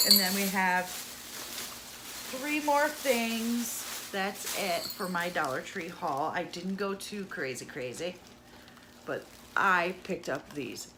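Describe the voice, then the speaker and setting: A woman talking through a shopping haul in a casual, gushing way, losing her voice to a cold. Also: lowish voice. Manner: chatty, gushing over cheap finds. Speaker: haul vlogger. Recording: audible noise in the background.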